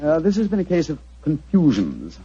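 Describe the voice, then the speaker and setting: A man acting a brilliant, self-assured English gentleman detective in a 1940s radio drama, with a British accent and precise, theatrical delivery. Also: fairly high pitch, huge pitch swings, moderate pace, husky, full character voice. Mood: coolly analytical. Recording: muffled, dull 1940s radio recording.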